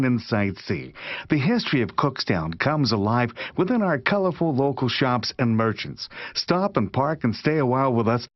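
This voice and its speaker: A man reads a scripted radio promo in a mid-pitched, very expressive voice. The track is dry and close, though slightly dull. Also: no echo. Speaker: tourism radio announcer.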